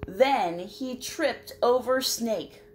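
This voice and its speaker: A woman reading a picture book aloud to children in an expressive way, chatting to young listeners as she goes. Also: on the high side, drawn-out words, slight background noise. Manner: expressive, addressing young listeners with simple questions. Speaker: children's storybook reader and host.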